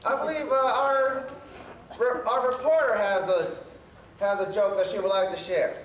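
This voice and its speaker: A man playing a cheerful professor who hosts a children's storytelling stage show, speaking haltingly and stumbling over his words. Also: sits high, fairly even intonation, close to an everyday voice. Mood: upbeat, presenting. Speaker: storyteller host. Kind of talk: live children's theatre hosting, telling jokes. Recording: echoey stage, distant mic, faint noise.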